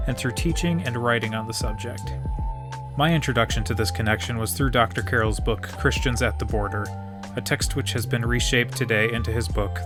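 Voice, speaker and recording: A man in his thirties hosts a podcast, reading his introduction in a measured, earnest way. A music bed plays clearly beneath his voice. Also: medium pitch. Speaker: podcast host. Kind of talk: scripted podcast introduction and interview.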